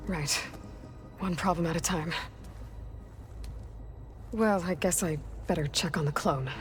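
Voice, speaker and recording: A woman's voice: lowish voice, a little slow, natural voice. A woman playing a determined, practical young heroine in a video game, speaking plainly and directly. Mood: concerned. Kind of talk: scripted video game acting. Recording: music behind the voice.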